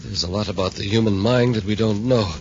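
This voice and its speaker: An actor playing a frightened, overwrought scientist who stammers urgently, in 1950s radio serial style. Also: male voice, on the low side, brisk, a little mellow, lightly acted. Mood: urgent, agitated. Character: rattled, frightened male scientist doctor, inventor of a brain machine. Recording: noisy, muffled 1950s radio recording.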